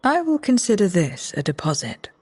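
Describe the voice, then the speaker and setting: An actress playing a guarded, shrewd, blunt mercenary woman in a video game cutscene, with a husky, breathy voice. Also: mid-range voice, big pitch swings, normal speed, darkish tone. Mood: cool bargaining.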